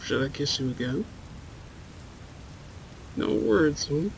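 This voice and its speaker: Man voicing a tender male vampire, slow murmured ASMR delivery, gravelly fry, flirtatious, protective, rain and thunder behind. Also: a little high, animated, exaggerated voice, poor audio. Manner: soothing, breathy murmurs, often dropping to whispers. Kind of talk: roleplay ASMR, intimate and soft-spoken. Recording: faint storm noise behind.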